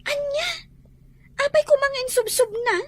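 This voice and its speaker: Woman acting very high-voiced concerned cousin from town. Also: measured pace, no room sound. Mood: surprised, curious. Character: concerned, persuasive shop-owning cousin from town. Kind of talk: Ilocano comedy-drama voice acting.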